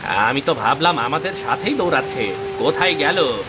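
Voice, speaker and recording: A man voicing an anxious, excitable farmer in a cartoon moral story, exclaiming dramatically. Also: mid-range voice, moderate pace, lightly acted. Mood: worried, wailing. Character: anxious, excitable male farmer, a scolding friend. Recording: loud rain noise behind the voice. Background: rain.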